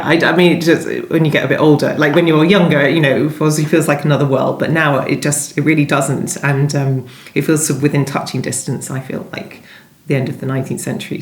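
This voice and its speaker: A woman novelist being interviewed, talking conversationally in a thoughtful, hesitant and halting way. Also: low voice. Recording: echoey room sound.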